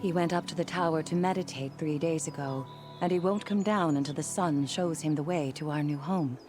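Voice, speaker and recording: A low female voice, an actress's carries a devout follower's stubborn loyalty. She speaks solemnly and reverently in measured game cutscene phrases, with prominent music behind her. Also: close to an everyday voice. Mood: solemn, hopeful. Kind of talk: video game cutscene acting.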